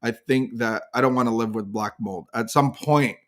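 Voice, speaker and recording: A man's voice: mid-range voice, normal speed. A man co-hosting a recovery podcast in casual chat, talking in a preachy, emphatic way. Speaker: podcast co-host.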